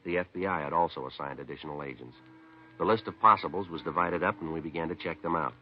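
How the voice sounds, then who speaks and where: Polite, no-nonsense American detective, rapid clipped delivery, dull vintage radio sound. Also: male voice, extremely low pitch. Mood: businesslike. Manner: terse, flat, matter-of-fact. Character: stoic, polite, businesslike male police detective. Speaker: narrator and lead actor. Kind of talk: 1950s radio police drama, clipped narration. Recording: dull 1950s radio broadcast recording.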